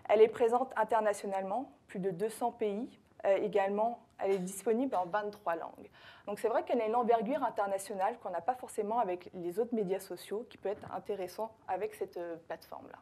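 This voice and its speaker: A woman's voice: mid-range voice, brisk, mellow. A woman from France with a French accent, a consultant giving a conference talk to a live audience, hesitant, with many fillers and self-corrections. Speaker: social media consultant and trainer.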